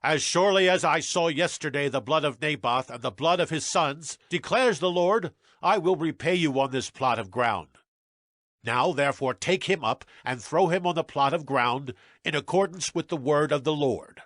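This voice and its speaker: An actor playing a commanding, ruthless warrior who has seized the throne, declaiming forcefully in a dramatized Bible production. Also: male voice, fairly high pitch, normal speed, dry recording, studio quality, natural voice. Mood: grim, solemn. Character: commanding, ruthless warrior usurper and zealous new king. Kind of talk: dramatized Bible audio acting. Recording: background music under the voice.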